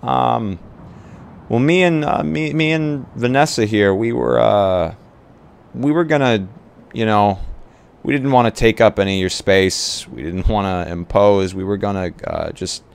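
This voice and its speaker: A man playing a tabletop roleplay game, improvising casually with hesitant pauses mid-sentence. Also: on the low side, gravelly, clean tone. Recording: noisy live stream.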